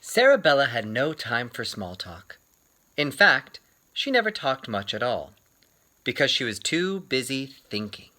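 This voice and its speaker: A man reading a children's book aloud in an expressive storytelling style, with theatrical, deliberate phrasing and dramatic pauses. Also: medium pitch.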